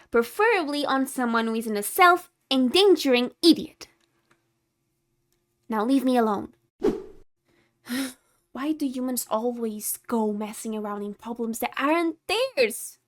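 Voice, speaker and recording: A woman playing a haughty, secretly caring tsundere vampire in a scripted ASMR roleplay, condescending and easily exasperated. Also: fairly high pitch. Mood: worried, grumbling. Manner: condescending, exasperated, flustered scolding with sighs.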